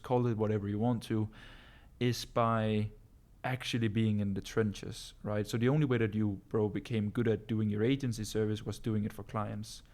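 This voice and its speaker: Low, flat-voiced Danish man chatting. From Denmark. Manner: casual, calls listeners bro, many ums and uhs. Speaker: business coach answering viewer questions. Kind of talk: casual live-stream Q&A.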